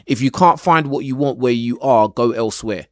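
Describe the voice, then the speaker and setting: A man's voice: medium pitch, normal speed. A man hosting a livestream debate, cutting in and repeating himself as he talks over his callers. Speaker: livestream host. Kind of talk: casual debate chat.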